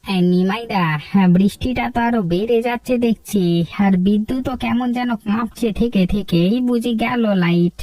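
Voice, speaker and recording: A woman's voice: a little high, a little fast, dark tone, dry recording, recorded from a distance, lightly acted, rough recording. Friendly cartoon villager voiced by a woman. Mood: concerned. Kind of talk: Bengali cartoon story dialogue.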